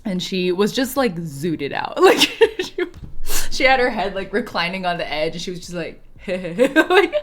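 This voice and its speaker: A woman streaming a video game, chatting casually in an animated, slangy way and reacting aloud. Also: lowish voice, fairly quick, hardly any pauses. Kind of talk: casual live stream chat with gameplay reactions. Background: game background music.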